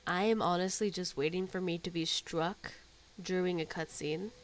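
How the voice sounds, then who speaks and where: A woman's voice: on the low side, fairly even intonation, normal speed, slight background noise. A woman gaming streamer, casually reacting to a game and reading dialogue aloud.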